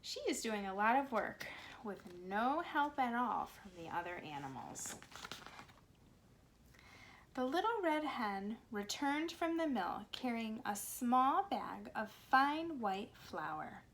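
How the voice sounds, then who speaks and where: A woman's voice: a little high, sing-song, takes it slowly, fairly bright tone, low-quality recording. A woman reading a storybook aloud to young children, expressively and with simple explanations, in a home recording. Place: cozy corner at home. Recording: faint background noise, home recording.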